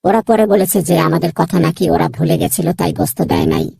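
A woman's voice: lowish voice, huge pitch swings, quick pace, vocal fry, dark tone, breathy edge, no echo, clean studio sound, exaggerated voice. A woman voicing a naive, eager young animal child in a cartoon, childlike and pleading. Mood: hopeful, wistful. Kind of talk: Bengali cartoon dialogue.

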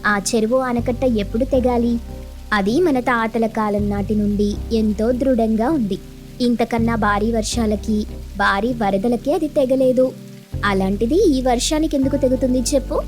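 A woman voicing a stubborn, confident mother sparrow in a cartoon story, speaking in a firm, expressive way. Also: on the high side, moderate pace, soft background music, lightly acted. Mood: stubborn, dismissive.

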